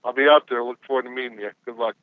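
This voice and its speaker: A man's voice: mid-range voice, rapid-fire, dry recording. A man working as a newspaper reporter, politely asking wordy questions on a press conference call. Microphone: telephone. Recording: phone-like line.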